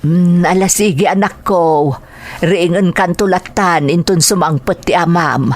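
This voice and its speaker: A woman with an Ilocano accent playing a caring, gentle older mother in an audio drama, drawing out her words. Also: on the low side, unhurried, lightly acted. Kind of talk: Ilocano radio-style drama acting.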